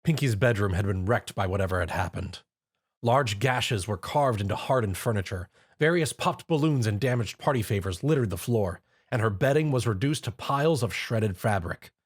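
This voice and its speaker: A man's voice: on the low side, lively intonation, moderate pace, husky. A man reading fan fiction aloud as narrator, telling the story expressively.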